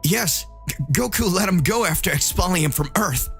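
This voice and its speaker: A man's voice: sits high, animated, normal speed, creaky, faint music behind. A man voicing a timid, fearful young fighter, the hero's sidekick, in a story. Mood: fearful, hesitant.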